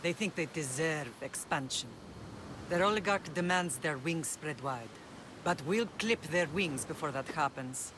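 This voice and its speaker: An actress playing a middle-aged mother who leads a Greek island, speaking in a measured, earnest, formal way in a dramatic game scene. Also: low-pitched, animated, background noise. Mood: defiant resolve. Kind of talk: dramatic game cutscene acting. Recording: music behind the voice.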